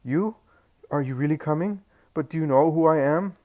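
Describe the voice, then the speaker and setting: A man's voice: a little high, moderate pace, darkish tone, voice pushed up, natural voice. A man voicing a desperate, grieving old grandfather who begs and cries out. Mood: frantic. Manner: pleading, crying out.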